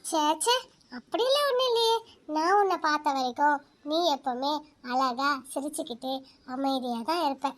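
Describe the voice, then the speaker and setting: A woman voicing a kindly, gentle moon spirit who comforts a girl in a cartoon. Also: sits high, expressive, normal speed. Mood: coaxing. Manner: coaxing and gentle.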